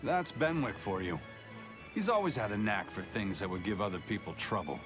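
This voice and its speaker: A steady, serious pirate played by a man with a lowish, gruff voice. He speaks in a measured, proud way, as in anime game dialogue, with music clearly behind. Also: lightly acted. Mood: wry storytelling. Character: stern, steady, proud male pirate first mate. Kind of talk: anime-style video game acting.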